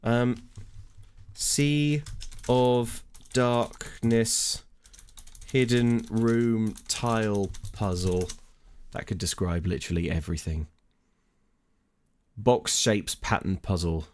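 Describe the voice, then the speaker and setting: A man's voice: fairly low pitch, fairly even intonation, takes it slowly, pauses often, a little mellow, clean tone. A man streaming a mystery game, talking casually to his chat and joking at his own expense. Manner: chatty, talks to his chat, self-mocking. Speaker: let's play streamer. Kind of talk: casual gameplay commentary.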